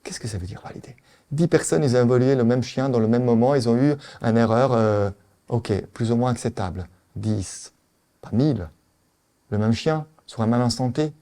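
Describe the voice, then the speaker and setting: A middle-aged man with an Italian accent, giving a conference lecture as a veterinary specialist, animated and full of rhetorical questions. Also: medium pitch, moderate pace.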